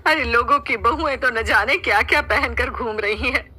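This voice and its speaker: A woman voicing a gentle, protective middle-aged mother-in-law in a cartoon, reassuring and reasoning. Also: a little high, moderate pace, slightly rough. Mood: troubled.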